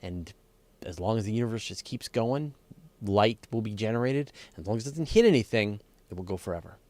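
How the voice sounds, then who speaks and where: A man's voice: fairly low pitch, animated, brisk, darkish tone, no echo. A man hosting a live question-and-answer show, explaining science casually and off the cuff with emphatic, think-aloud delivery.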